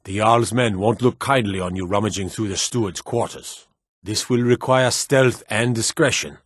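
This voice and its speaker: Deep, stern fantasy soldier. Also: male voice, normal speed, breathy edge, no echo, clean studio sound. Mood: businesslike, conspiratorial. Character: stern, battle-hardened male soldier in a fantasy war. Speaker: video game voice actor. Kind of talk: scripted video game voice acting.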